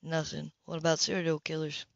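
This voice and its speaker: A woman voicing a flirtatious, smooth-talking man in his early thirties, teasing and persistent, in an audiobook romance. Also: sits low, completely flat delivery, brisk, no room sound, highs cut off, voice pushed down. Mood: curious, teasing.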